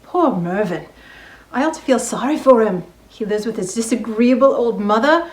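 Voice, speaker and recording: A woman acts a genteel lady librarian in a radio-play style reading. She is prim and reserved, with a high, expressive voice in an echoey room. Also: moderate pace, close to an everyday voice. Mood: private musing. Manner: polite, proper, hesitant.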